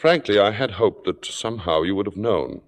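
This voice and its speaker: Suave, low-voiced, acid-tongued critic. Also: male voice, expressive, normal speed. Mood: cold, menacing. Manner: urbane, sardonic, precise phrasing. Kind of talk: 1950s radio drama acting and narration. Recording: reverberant old-time radio broadcast recording.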